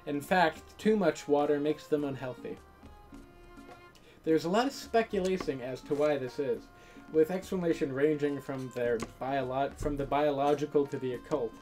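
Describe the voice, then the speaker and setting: A woman's voice: deep voice, moderate pace, dark tone, faint music behind. A woman reading a visual novel aloud and adding casual commentary as host of a gaming video.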